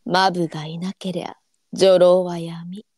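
A woman playing a gentle, teasing senior courtesan, an indulgent big sister with elegant old brothel speech. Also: lowish voice, little pitch movement, unhurried, husky, no echo, studio quality.

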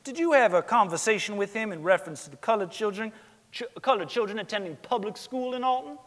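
A man's voice: high-pitched, animated, quick pace, fairly bright tone. A man playing a formal lawyer questioning witnesses in a staged period courtroom drama. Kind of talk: staged dramatic reading of a trial. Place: large theater stage, indoors. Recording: hall reverb from a theater stage.

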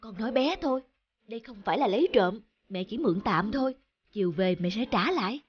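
A woman voicing a caring mother in a children's cartoon, explaining things gently. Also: fairly high pitch, animated, fairly quick, dull audio, natural voice. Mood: hushed, impatient. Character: caring mother of a young girl, sometimes hurried. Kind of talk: Vietnamese children's cartoon voice acting. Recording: music bed behind the voice.

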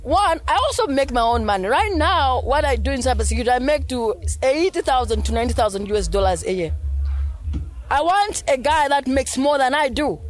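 A young woman from Zambia with a Zambian accent, a guest in a casual interview, speaking boldly and self-assuredly on a boom mic at an outdoor night set. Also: expressive, a little fast. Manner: bold, self-assured, drawling. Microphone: studio mic on a boom arm.

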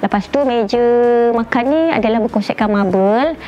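A Malaysian-accented woman with a fairly high voice walks through her home as its owner. She chats casually and hesitates often as she looks for words. The indoor recording is slightly dull, with faint noise behind her. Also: warm tone. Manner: chatty and hesitant, pausing often with "ah" and searching for words. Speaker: homeowner giving a house tour. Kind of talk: casual guided home tour to camera. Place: indoors, rooms of a furnished house.

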